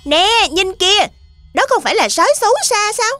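A woman's voice: extremely high pitch, expressive, fairly quick, bright, forceful tone, no room sound, clean studio sound, soft background music, full character voice. A woman voicing a bold, observant little girl goat kid in a children's cartoon dub. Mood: alert.

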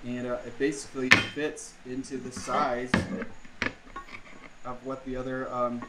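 A man's voice: medium pitch, level tone, unhurried, roomy sound, heavy background noise. A man casually explaining a jet ski part swap, thinking aloud with lots of fillers, recorded on a camera in his home garage. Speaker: vlogger. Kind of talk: casual how-to vlog.

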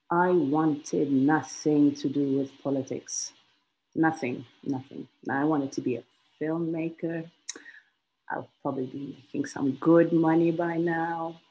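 A woman in her thirties from Egypt, a guest on an online interview, telling her story in a lively way with frequent laughs and repeated phrases for emphasis. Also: low voice, slow, lo-fi sound. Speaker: guest, institute alumna. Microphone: video call.

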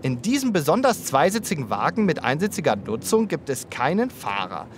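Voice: mid-range voice, big pitch swings, fairly quick, lightly acted. A playful young zebra detective voiced by an actor for a children's radio play. The voice swoops up and down, theatrical and know-it-all, explaining things in mock-precise long words over faint noise. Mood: clever, excited. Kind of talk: children's audio drama acting.

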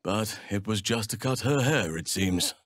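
A seasoned druid from a fantasy game, an earnest and steady guardian of nature. His voice sits fairly low and moves with very lively intonation. He phrases things formally and solemnly, in an old-fashioned way, and the recording is close and dry. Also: measured pace, dry recording. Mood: grave, earnest. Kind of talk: fantasy video game character dialogue.

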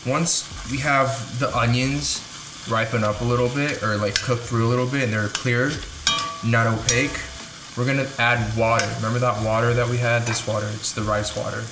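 A man's voice: mid-range voice, fairly even intonation, moderate pace. A young man chatting casually while he cooks at home, thinking aloud and poking fun at his own cooking. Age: young adult. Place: home kitchen, indoors. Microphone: camera mic at a distance. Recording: echoey kitchen with frying noise. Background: sizzling pan and background music.

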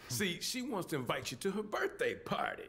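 A man playing a wry, teasing job broker in a video game cutscene, unhurried and sly in delivery. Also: high voice, huge pitch swings, vocal fry, a bit bright, breathy edge, full character voice. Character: wry, smooth-talking male fixer and job broker.

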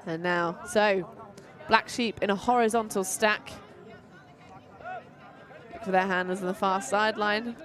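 A woman calls an outdoor match in a relaxed, chatty way, her voice sitting at an ordinary middle pitch. She drifts between play-by-play and playful asides. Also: unhurried, long pauses, a faint crowd. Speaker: commentator. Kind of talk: live sports commentary. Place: outdoor tournament field.

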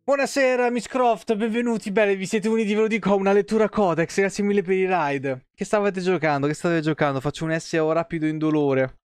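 High-pitched man with a tired voice, music behind. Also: steady tone, fairly quick, few pauses, clean tone, no echo, studio quality. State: voice tired and giving out. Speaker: game streamer. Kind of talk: reading game lore aloud on a livestream. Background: soft plucked guitar music.

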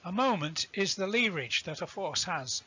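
A man giving an explanatory lecture as an instructor, deliberate and didactic, defining terms as he goes. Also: high-pitched, lively intonation, sharp tone, breathy edge, muffled recording. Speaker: instructor presenting a sailing tutorial.

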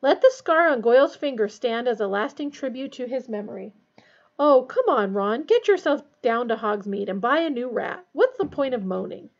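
A woman's voice: fairly high pitch, sing-song, moderate pace, few pauses. A woman voicing a breezy, joking older teenage brother in a storybook, bracing and mock-solemn, with slightly dull sound. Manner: bracing, teasing.

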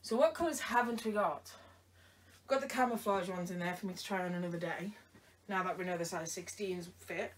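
A woman's voice: lowish voice, a little fast, a little hiss or hum. A tired woman chatting playfully in a try-on vlog, teasing someone off camera. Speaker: vlogger. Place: bedroom indoors. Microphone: camera across the room. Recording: voice from a distance.

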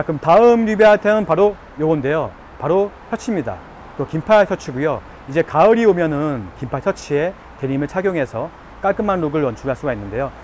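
Male vlogger, 30s, slightly high voice, product-review delivery, faint street noise behind. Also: a little mellow. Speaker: fashion review YouTuber. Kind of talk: presenting a product review to camera. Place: outdoors by a concrete wall on an urban street.

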